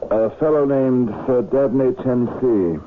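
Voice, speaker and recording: An actor playing a businesslike island magistrate in a 1970s radio drama, terse and matter-of-fact. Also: male voice, medium pitch, a little slow, narrow, tinny audio, slight background noise, lightly acted. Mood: guarded. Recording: thin, band-limited vintage radio recording.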